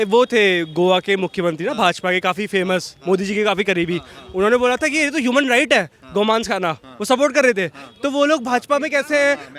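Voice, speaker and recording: High-pitched, fast-talking young man. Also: fairly bright tone. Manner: questioning, persistent, argumentative. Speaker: member of the public debating. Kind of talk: heated street debate.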